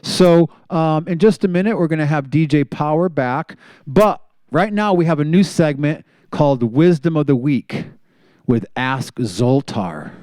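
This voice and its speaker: A man hosts a live community television show in front of a studio audience. His voice is smooth and resonant, with a relaxed, mellow tone. He sounds upbeat as he introduces segments. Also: on the high side, a little slow. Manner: upbeat, announces crew and thanks sponsors. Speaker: show host.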